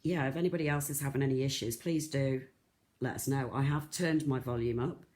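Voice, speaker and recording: Craft host, croaky and soft, chatty live demo with frequent fillers and restarts. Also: medium pitch, steady tone, a little fast. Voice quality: soft, not loud. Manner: chatty, rambling, lots of ums and restarts. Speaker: craft shop tutor. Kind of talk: live-streamed beading tutorial.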